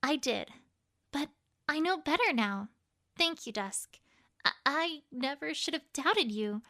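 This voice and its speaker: A bright, forceful young female pony played by a woman with a high voice that moves in lively swings. She is a bashful bookworm from a fan audio drama, earnest and prone to halting, stammered phrases. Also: heavily acted. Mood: earnest, relieved.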